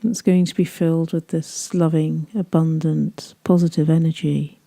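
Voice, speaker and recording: Very mellow, soft-toned woman guiding meditation. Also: low-pitched, flat delivery, moderate pace, gravelly. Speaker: guided meditation host. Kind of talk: slow, soothing guided meditation narration.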